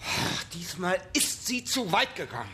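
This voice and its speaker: An actor playing an imperious, sarcastic playwright with biting mock politeness in a German radio play. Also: male voice, high-pitched, very animated, unhurried, very noisy. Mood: sarcastic indignation. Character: imperious, sarcastic male playwright with theatrical temper.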